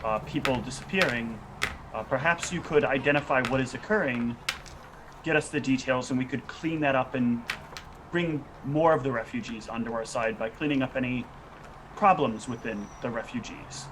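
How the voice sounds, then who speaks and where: Deliberate male roleplayer with faint background noise. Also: mid-range voice. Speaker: player in a tabletop roleplaying game. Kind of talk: improvised in-character tabletop roleplay.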